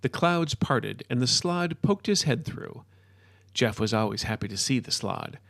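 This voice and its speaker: A man hosting a fiction podcast, reading his own scripted story with deliberate emphasis on key words. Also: mid-range voice, lively intonation, gravelly, a bit bright, dry recording, studio quality.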